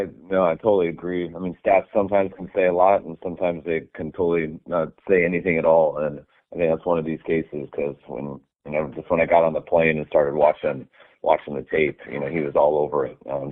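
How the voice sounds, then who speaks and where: Very deep-voiced coach on a phone line. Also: male voice. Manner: relaxed, many "um" and "I mean" fillers. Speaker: head coach. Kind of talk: answering press questions on a conference call.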